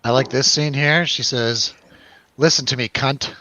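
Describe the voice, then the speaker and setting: A man with a bright, forward voice talks casually about films among friends. He is informal and prone to exclaiming and restarting. The sound is dry and a little dull. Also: mid-range voice, steady tone, a little slow, dry recording. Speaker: film review show host. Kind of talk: casual film discussion with friends.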